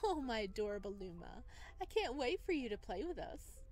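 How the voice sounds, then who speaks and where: A woman's voice: fairly high pitch, big pitch swings, brisk, a little mellow. A woman voicing a playful, flirtatious and possessive male dream being from a visual novel, with teasing endearments. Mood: teasing, doting. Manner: teasing, doting, theatrical endearments. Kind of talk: visual novel character read-aloud. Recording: background music behind voice.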